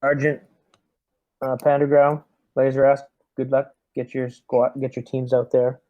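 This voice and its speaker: A man playing a tabletop roleplaying game as squad leader, giving deliberate, military-style orders. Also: medium pitch, level tone, no room sound, phone-quality sound. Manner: deliberate, military briefing phrasing. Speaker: tabletop RPG player leading the squad as lieutenant.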